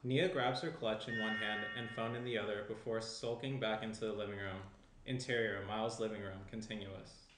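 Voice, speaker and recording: A man's voice: on the low side, steady tone, fairly quick, a bit bright. A man in his thirties reading stage directions as scripted narration at a table read. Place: small indoor room at a table. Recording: roomy, faint background noise.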